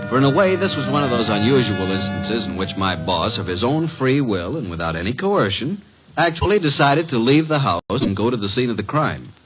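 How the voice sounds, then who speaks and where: An actor playing a breezy, wry detective's assistant and narrator in an old American radio mystery. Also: male voice, medium pitch, sing-song, fairly quick, fairly bright tone, soft background music. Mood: wry narration. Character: wisecracking, eager male detective's assistant and narrator. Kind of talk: 1940s-50s American radio acting. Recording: noisy, dull old radio recording.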